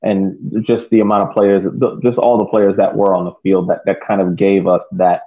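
A man co-hosting a casual sports podcast, thinking aloud haltingly as he analyses play, heard over a tinny phone-like connection. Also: low-pitched, little pitch movement. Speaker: podcast co-host.

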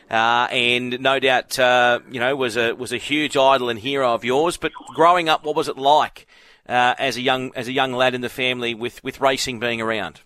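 Australian male radio host, middle-aged, crisp bright tone, chatty interviewer. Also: mid-range voice, a little hiss or hum. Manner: friendly, chatty, some fillers. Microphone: studio microphone.

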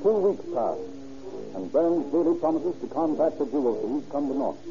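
Lively male old-time radio narrator. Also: fairly high pitch, moderate pace. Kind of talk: 1930s radio crime drama narration. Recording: muffled old radio recording with music.

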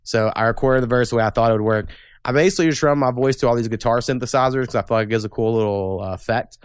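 A man's voice: medium pitch, very fast, clean tone, no room sound, clean studio sound. Blunt, opinionated young man hosting a podcast. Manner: blunt, opinionated, swears casually. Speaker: podcast host. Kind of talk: casual political chat.

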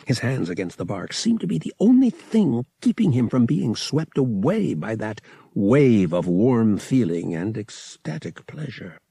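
A man narrating fiction as an audiobook reader, with an expressive, theatrical storytelling manner. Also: medium pitch, vocal fry, husky, dry recording. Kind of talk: dramatic scripted fiction narration.

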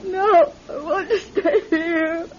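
Fearful, emotional sister, extremely high fraught voice, classic radio acting, dull recording. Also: female voice, steady tone, slow, a little mellow, a little hiss or hum. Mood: distraught. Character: distraught, despairing woman afraid for her sister. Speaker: radio drama actor.

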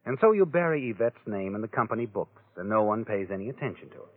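An actor playing a sardonic, all-knowing narrator who taunts the guilty in an American 1940s radio crime drama. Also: male voice, lowish voice, lively intonation, fast talker, lightly acted. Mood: sly, taunting. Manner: knowing, taunting, speaks directly to the character. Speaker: host and narrator. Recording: tinny old radio broadcast, music behind.

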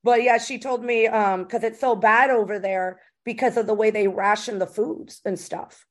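Chatty lady podcast host, kinda high voice, super informal, slangy interview talk. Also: steady tone, moderate pace. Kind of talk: casual conversational interview.

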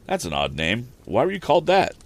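A man voicing an eager young inventor, brisk and helpful. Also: mid-range voice, huge pitch swings, pitched above the performer's own voice.

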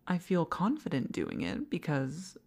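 Woman acting a low, slow, possessive seductress boss. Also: huge pitch swings, a little mellow, heavily acted. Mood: coy, seductive. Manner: breathy half-whispers, drawn-out coaxing, condescending sweetness. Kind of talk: intimate romantic audio roleplay.

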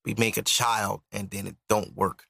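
A man's voice: lowish voice, flat delivery, normal speed, creaky, bright, forceful tone, dry recording. A man in his thirties co-hosting a podcast, talking candidly, joking and reflective. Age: 30s. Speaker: podcast co-host. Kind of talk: casual chat.

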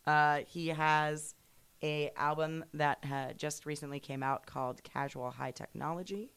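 A woman's voice: low voice, fairly even intonation, a little slow, fairly bright tone, clean tone. A woman co-hosting a podcast in casual chat, with a sultry smoky voice, rambling and giggly with lots of fillers. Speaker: podcast co-host.

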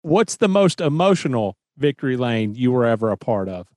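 A man's voice: medium pitch, very animated, a little fast, a little mellow. A man hosting a podcast interview, asking short, direct questions. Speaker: podcast host. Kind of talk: casual interview.

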